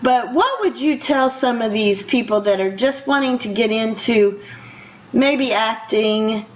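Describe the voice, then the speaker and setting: An older woman hosting a casual radio interview in a chatty, conversational way, quick to laugh. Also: a little high, animated. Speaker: radio show host. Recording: dull, lo-fi radio interview audio.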